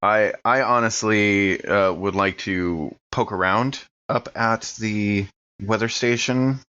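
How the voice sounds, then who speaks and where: A man's voice: on the low side, takes it slowly, sharp tone, smooth, dull audio. An American man chatting casually as a player in a tabletop roleplaying game.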